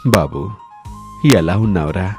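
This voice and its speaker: A man's voice: sits low, slow, a little mellow, soft background music. A man voicing an old, stubborn but tender-hearted village father in scripted story dialogue. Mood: hurt.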